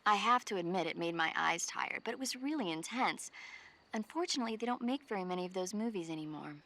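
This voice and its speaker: A woman's voice: mid-range voice, animated, fast talker, fairly bright tone. An actress playing a chatty, earnest female support officer and film buff in a video game, explaining things conversationally. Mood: enthusiastic.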